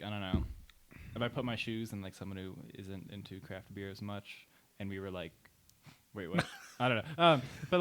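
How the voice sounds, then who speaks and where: A young man with a low, bright voice rambles through his thoughts in a relaxed chat. He leans heavily on "like" and "um" as he searches for words. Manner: rambling, full of "like" and "um". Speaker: podcast co-host reviewing beer. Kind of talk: casual chat.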